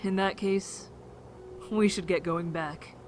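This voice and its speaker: A woman's voice: medium pitch, steady tone, slow pace, soft background music, lightly acted. A woman playing an encouraging young survivor in an amateur audio drama, reasoning in a reassuring, patient way.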